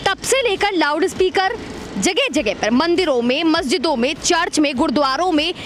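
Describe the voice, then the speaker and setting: High-pitched woman street reporter. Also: expressive, normal speed. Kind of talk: vox-pop interviewing and presenting to camera. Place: outdoors on a city street. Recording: noisy outdoor street with traffic.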